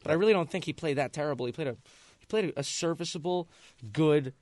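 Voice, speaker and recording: A man's voice: fairly high pitch, fast, darkish tone, no echo. A young man co-hosting a casual sports talk show, a Mets fan who argues his points emphatically.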